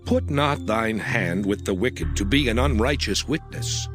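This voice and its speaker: A man's voice: lowish voice, lively intonation, measured pace. A man voicing God as a solemn, commanding lawgiver in a dramatized scripture reading, with declamatory, archaic diction. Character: God, solemn commanding male deity laying down law. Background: background music.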